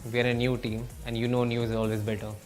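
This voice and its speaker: A man's voice: mid-range voice, monotone, quick pace. A twenty-three-year-old man with an Indian accent, speaking as a player in a profile interview. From Bhopal, India. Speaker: esports player. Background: music.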